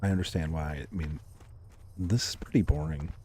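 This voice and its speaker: A young man livestreaming a game, chatting calmly and casually with his viewers and thanking them for comments. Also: deep voice, normal speed, vocal fry, slight background noise. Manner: laid-back, calm, chatty with viewers. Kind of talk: casual live-stream chat reading comments.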